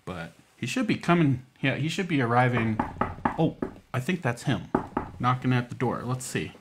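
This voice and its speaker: A man's voice: medium pitch, big pitch swings, moderate pace, very noisy. Folksy American man hosting children's storytime. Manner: folksy, informal, coaxing with check-in questions. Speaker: library storytime host.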